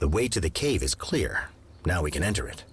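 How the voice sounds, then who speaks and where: Deep, husky gentleman detective. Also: very animated, fairly quick, exaggerated voice. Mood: purposeful. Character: composed, deep-voiced gentleman detective companion. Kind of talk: video game character acting.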